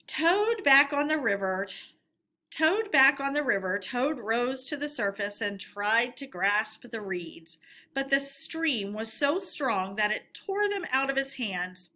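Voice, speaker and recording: A woman storyteller reading a storybook aloud in an expressive narrating style. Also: fairly high pitch, takes it slowly.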